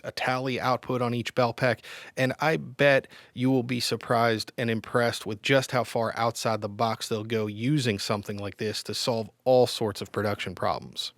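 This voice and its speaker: Male gear reviewer, middle-pitched, informal and explanatory, recorded dry. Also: dry recording. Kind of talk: semi-scripted product review narration.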